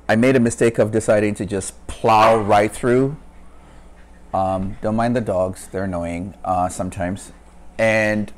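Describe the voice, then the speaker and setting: A middle-aged man with a slightly low voice gives a casual hobby review at home. He speaks slowly and reflectively, pausing between short phrases as he talks to the camera across a table. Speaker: hobbyist vlogger, toy building reviewer. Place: room at home.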